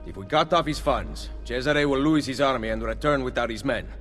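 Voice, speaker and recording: An actor playing a resolute, commanding leader of Italian assassins in a video game, with an Italian accent. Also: male voice, medium pitch, fairly quick, fairly bright tone, close to an everyday voice. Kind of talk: dramatic video game acting. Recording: long hall reverb, faint music behind.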